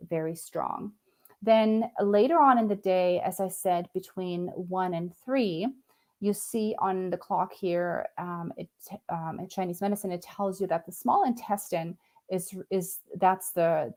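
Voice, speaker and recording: A middle-aged woman from Germany talks in a somewhat low voice as a nutritionist. She explains casually and thoughtfully, pausing on fillers and restarting her sentences as she goes. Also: a little mellow. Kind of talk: casual educational talk to camera.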